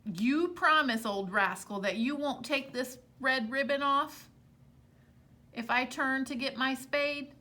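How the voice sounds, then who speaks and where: A woman's voice: sits high, takes it slowly, sharp tone, full character voice. A woman voicing a bold, clever young male farmer in a children's storybook read-aloud. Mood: firm, satisfied.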